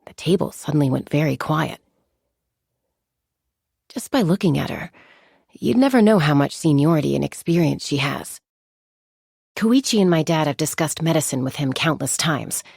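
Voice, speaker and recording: A confident young warrior lady from a game, lowish with some gravel, real dry close sound, calm and articulate. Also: no echo, full character voice. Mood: amused storytelling. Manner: measured, articulate, reflective delivery. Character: composed, confident young woman, seasoned flying warrior and peacemaker. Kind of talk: scripted game character monologue.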